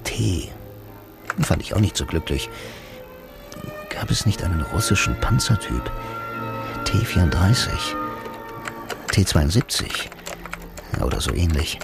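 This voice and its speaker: A man's voice: deep voice, unhurried, creaky, pauses often, full character voice. An actor playing a sardonic blind bank employee who narrates his story in a radio play, dryly and laconically. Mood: deadpan, brooding. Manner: dry, deadpan, laconic. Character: sardonic blind male bank copywriter, a lonely self-styled noir hero. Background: music.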